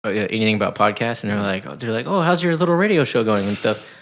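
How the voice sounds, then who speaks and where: A man's voice: on the low side, fast talker, a bit bright, slight background noise. A young man hosting a podcast, rambling playfully through anecdotes in casual chat. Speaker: podcast host.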